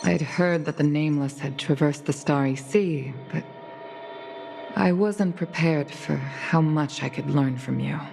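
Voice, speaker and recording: A woman's voice: sits low, creaky, a little mellow. An actress playing a composed, protective middle-aged veteran pilot mother in a video game, speaking formally and with weariness. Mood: gracious, apologetic. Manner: formal, measured, gracious. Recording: background music under the voice.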